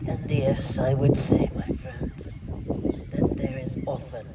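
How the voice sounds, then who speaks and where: A spiritual teacher giving a slow channeled message to a group in formal, old-fashioned phrasing, often sinking into a breathy whisper. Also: medium pitch, little pitch movement. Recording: distant mic with clear background noise.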